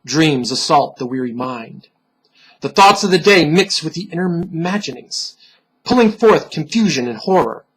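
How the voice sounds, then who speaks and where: A man giving a dramatic, solemn reading of a dark fantasy story, theatrical and deliberate, with pauses for effect and a husky voice. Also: fairly high pitch, expressive, low-quality recording. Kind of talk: dramatic scripted narration.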